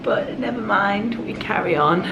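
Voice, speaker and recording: A woman in her thirties talks to her camera in a chatty, confiding way, her voice croaky from a cold. The room gives her voice a roomy echo. Steady background noise sits underneath. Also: medium pitch. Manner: chatty and confiding, with quick asides. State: hoarse from a cold. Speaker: vlogger. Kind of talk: casual vlog chat.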